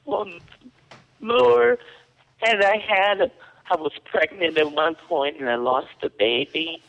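A man putting on a raspy, muddled woman's voice as a prank caller, rambling and hesitant in a dull lo-fi phone recording. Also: on the high side, takes it slowly, fairly bright tone, pitched above the performer's own voice, heavily acted. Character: confused raspy female prank caller claiming to be a young woman.

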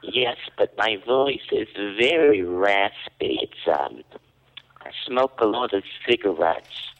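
A man doing a raspy, muddled woman prank caller. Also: expressive, slow. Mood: rambling. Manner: falsetto put-on woman's voice, rambling, hesitant. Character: confused raspy female prank caller claiming to be a young woman. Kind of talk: prank phone call. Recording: dull lo-fi phone-call recording.

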